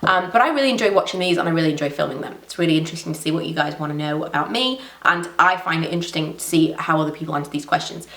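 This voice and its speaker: A woman's voice: low voice, rapid-fire, creaky, few pauses, fairly bright tone, far from the mic. Congested woman in a roomy echo. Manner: chatty, rambling, self-deprecating. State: blocked nose from a cold. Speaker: beauty vlogger. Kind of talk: casual Q&A vlog.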